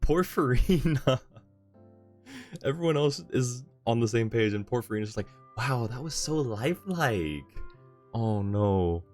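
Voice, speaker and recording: A man's voice: mid-range voice, animated, measured pace, darkish tone. A man streaming a game live, reacting casually in an amused, informal way. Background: game music.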